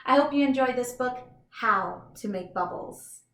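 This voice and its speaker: A woman's voice: a little high, a little slow, fairly bright tone, roomy sound. A woman reads a children's book aloud like a teacher, enthusiastic and instructive, with chatty explanatory asides to young listeners.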